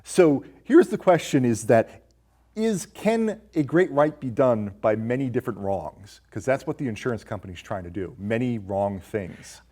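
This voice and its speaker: A man's voice: medium pitch, lively intonation, moderate pace, mellow. A man, around forty, hosting a film review show and talking through ideas casually with a guest.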